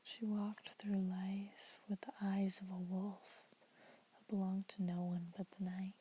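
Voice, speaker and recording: A woman's voice: medium pitch, completely flat delivery, unhurried, slight background noise, rough recording. A young woman whispering throughout, reading poems aloud for an ASMR video. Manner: soft unvoiced whisper throughout; casual, chatty intro with fillers. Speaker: ASMR creator.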